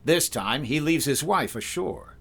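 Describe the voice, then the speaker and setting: A man's voice: fairly high pitch, expressive, a little slow, slightly rough, exaggerated voice. A man acting a shrewd, wry insurance investigator in a 1950s-style detective radio drama, speaking and narrating with dry confidence. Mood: confident, probing.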